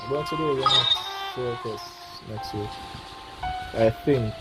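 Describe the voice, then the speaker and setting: Rambling game streamer over loud music. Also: medium pitch, normal speed. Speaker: livestreamer playing a game. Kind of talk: casual gaming livestream chat.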